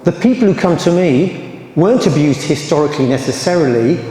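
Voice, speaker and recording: English-accented man, passionate advocate, slightly high voice, hall reverb. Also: lively intonation, brisk, dark tone. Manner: emphatic, rising to anger, then apologetic. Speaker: survivor advocate presenting to a meeting. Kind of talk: impassioned public talk. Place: large echoey hall. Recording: roomy echoey hall with reverb.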